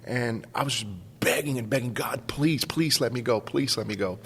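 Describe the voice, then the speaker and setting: A man's voice: mid-range voice, moderate pace, fairly bright tone, husky, in a large hall. A man in his forties from Cuba, casually telling a personal story as a podcast guest. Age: 40s. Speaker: school staff member, podcast guest.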